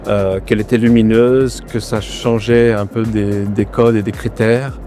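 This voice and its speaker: Artist guy being interviewed, pauses and fillers, soft music in back. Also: lowish voice, little pitch movement. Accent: no regional accent. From born in Argentina, raised in France. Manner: thoughtful, hesitant with fillers. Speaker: exhibiting artist, interview guest. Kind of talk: casual interview at an art fair.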